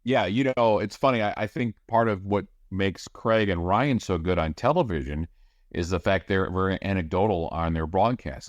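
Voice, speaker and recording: Brief: man, play-by-play broadcaster type, low voice, dry close sound, lively explainer. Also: no echo. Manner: energetic, explanatory, rapid description. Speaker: radio play-by-play announcer, guest. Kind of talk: casual podcast chat.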